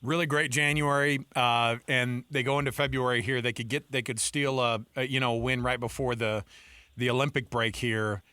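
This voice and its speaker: A man hosts a sports radio show with a bright, forceful voice. His studio sound is dry and close. He chats and banters easily before he asks his guest a question. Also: mid-range voice, fairly even intonation, moderate pace, smooth, no room sound. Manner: chatty, bantering. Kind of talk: casual sports talk.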